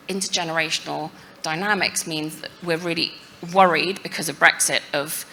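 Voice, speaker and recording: A woman's voice: on the low side, pushed and bright, slight background noise, poor audio. A woman speaking on a panel to a live audience at a conference, in a conversational way with frequent tag questions and "you know" fillers. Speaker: panel speaker at a futures forum.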